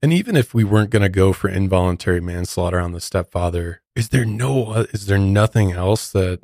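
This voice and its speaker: American dude cohosting a podcast, low voice, casual chat, very dry audio. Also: male voice, brisk, a little mellow, no room sound. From United States. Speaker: podcast co-host.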